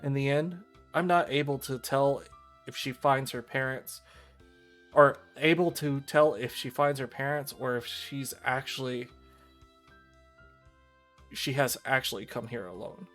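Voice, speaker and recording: A man's voice: mid-range voice, unhurried, pauses often, fairly bright tone, soft background music. A man reading and talking through a visual novel on a livestream. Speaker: streamer.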